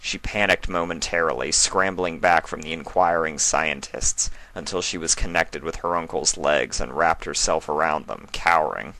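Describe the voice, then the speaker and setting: A man's voice: a bass voice, normal speed, hardly any pauses, pushed and bright, dry recording, rough recording. A man reading a scripted fantasy story aloud as its narrator.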